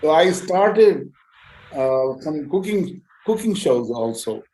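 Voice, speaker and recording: A man's voice: fairly high pitch, animated, slow pace, warm tone, a little hiss or hum, poor audio. A man who is a physician and YouTuber, answering interview questions hesitantly with many fillers and restarts on a video call. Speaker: guest speaker, physician and YouTuber.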